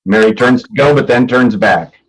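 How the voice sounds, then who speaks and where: A man's voice: medium pitch, moderate pace, low-quality recording. A man presenting a staged play reading as announcer and stage directions reader, plain and matter-of-fact. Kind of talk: scripted narration of stage directions.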